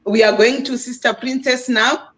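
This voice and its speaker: A woman's voice: fairly high pitch, fairly even intonation, normal speed, fairly bright tone. Upbeat woman hosting a live stream. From Zimbabwe. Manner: emphatic, preacher-like urging. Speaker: livestream host. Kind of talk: casual live stream talk.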